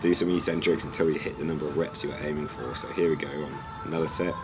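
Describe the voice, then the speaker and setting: A young man with a hoarse, fading voice, casually narrating his gym workout as a fitness vlogger, explaining with a relaxed, slightly rambling manner. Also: rumbling low, fast talker, over music.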